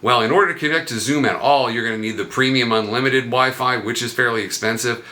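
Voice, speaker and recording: A man's voice: medium pitch, quick pace, pushed and bright. A man hosting a vlog, explaining things casually and conversationally, sometimes asking himself questions aloud. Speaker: vlog host.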